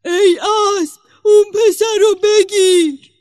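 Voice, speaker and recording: A woman voicing a grumpy, roaring demon giant in a storybook tale, with loud, drawn-out bellowing speech. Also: high-pitched, animated, no room sound, clean studio sound, soft background music, voice pushed up. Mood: furious roaring.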